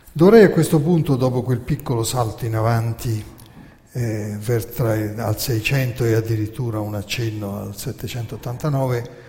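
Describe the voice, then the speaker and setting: A man hosting a radio program, telling history in a measured lecturing way and posing rhetorical questions. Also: medium pitch, a little mellow, big-room sound, a little hiss or hum. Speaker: radio host and narrator. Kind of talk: lecture-style history talk.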